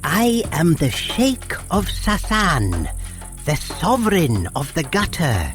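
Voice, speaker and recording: A woman's voice: low-pitched, sing-song, slow pace, heavily acted. A woman playing a pompous, declamatory, self-styled sheikh of the gutter, a riddling poet in an audio drama. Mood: grandiose, teasing. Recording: loud music under the voice.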